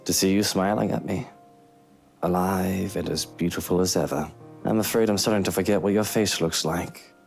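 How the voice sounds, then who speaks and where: Low, gravelly, brooding young gentleman. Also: steady tone, moderate pace, faint music behind. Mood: sorrowful. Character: brooding young gentleman mourning his dead mother. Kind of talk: dramatic visual novel voice acting.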